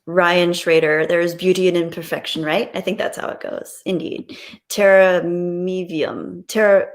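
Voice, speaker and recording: A woman's voice: fairly low pitch, little pitch movement, measured pace. A woman hosting a livestream, chatting casually and reading out viewer comments in a gushing, appreciative, playful way. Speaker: livestream host.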